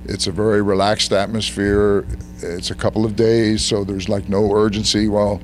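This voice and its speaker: An older man answering interview questions in a measured, thoughtful way, his voice slightly hoarse. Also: lowish voice, level tone, a little hiss or hum. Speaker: interview guest. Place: convention hotel room. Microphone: handheld mic held by interviewer.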